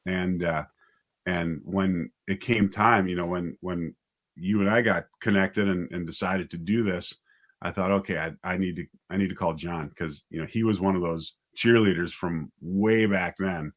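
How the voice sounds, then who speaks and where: A man with a lowish voice speaks as a guest in a casual interview. He is a director who tells stories conversationally, often acting out bits of what was said. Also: fairly even intonation, moderate pace, dull audio. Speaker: guest, audio drama writer and director.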